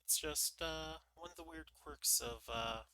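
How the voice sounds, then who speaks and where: Guy streamer chatting between readings, slow and rambly, lots of ums, bright voice, desk mic. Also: no echo, clean studio sound. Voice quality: naturally higher voice than he looks. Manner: rambling, self-deprecating, many fillers. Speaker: VTuber book-reading streamer. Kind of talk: casual chat during an ad break.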